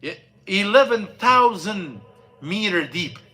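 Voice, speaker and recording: Bright-voiced man telling a story. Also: high-pitched, expressive, faint music behind. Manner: storytelling, halting with many fillers and restarts. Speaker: imam. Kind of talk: mosque class talk. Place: mosque classroom.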